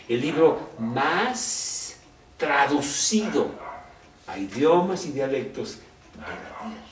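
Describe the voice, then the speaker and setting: A man preaching a sermon in Spanish. He talks slowly and conversationally and keeps asking rhetorical questions. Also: mid-range voice, recorded from a distance. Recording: roomy echo, off-mic, faint background noise.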